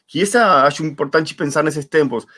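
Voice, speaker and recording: A man's voice: fairly high pitch, moderate pace, sharp tone. A man, reflecting as a guest in an online conversation, searching for words and repeating them for emphasis. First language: Spanish. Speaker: interview guest. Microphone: video call.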